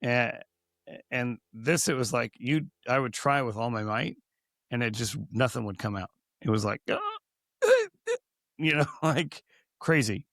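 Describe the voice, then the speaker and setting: A man co-hosting a podcast, hoarse from recently losing his voice, chatting casually and rambling through many restarts. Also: medium pitch, huge pitch swings, takes it slowly, a bit bright, dry recording, studio quality. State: hoarse, recovering from lost voice. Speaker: podcast co-host.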